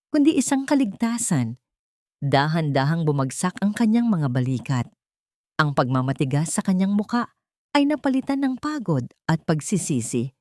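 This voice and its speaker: A woman with a fairly low voice reads a scripted audio drama, telling it in a steady, dramatic way. She is close on the mic and the sound is dry, with no room sound. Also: no echo. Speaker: narrator.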